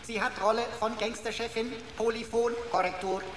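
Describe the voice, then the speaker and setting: A woman's voice: on the high side, fairly even intonation, normal speed, natural voice. A woman playing an officious computer that reports in clipped, telegraphic military phrases in a radio play. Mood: dutiful. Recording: reverberant hall sound with noise.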